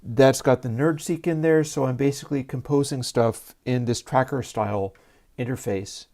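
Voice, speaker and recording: A man hosting a casual livestream and explaining his audio gear step by step in a soft, soothing voice, talking into a lavalier mic. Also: mid-range voice, normal speed. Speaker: livestream host and audio developer.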